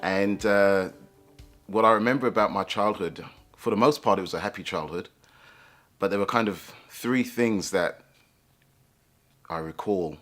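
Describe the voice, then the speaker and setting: A man, telling his own life story in a reflective, halting way with many fillers and restarts. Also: on the low side, fairly bright tone, faint music behind. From England. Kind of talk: personal life story told to camera.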